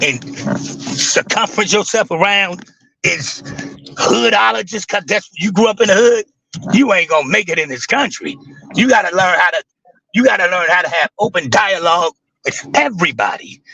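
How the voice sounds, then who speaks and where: A man with a raspy voice who talks intensely and with emphasis, swearing freely in a casual livestream chat. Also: sits high, sharp tone, rough recording. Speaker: guest on a live stream.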